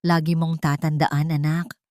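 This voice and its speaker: A woman voicing a gentle, dignified poor mother speaking softly and earnestly to her child in an audio story. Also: deep voice, level tone, unhurried, no room sound. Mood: tender, earnest. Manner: soft, tender, earnest.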